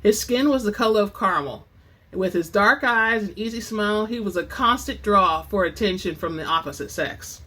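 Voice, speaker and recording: A narrator reading and presenting fiction in an expressive, theatrical storytelling manner as host of a reading channel. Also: medium pitch, moderate pace. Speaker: audiobook-style reader and channel host. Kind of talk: dramatic book narration; casual chatty sign-off to listeners.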